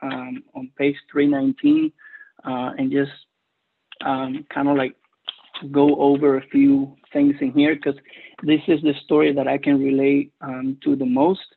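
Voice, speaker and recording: A man with a Cuban Spanish accent speaks haltingly and earnestly, pausing often as he shares his story. His voice is gravelly and husky, held in a flat, even tone. It comes through thin, tinny phone-line audio. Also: medium pitch. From Cuba. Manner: hesitant, earnest, many pauses. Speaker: recovery meeting speaker. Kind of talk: personal testimony.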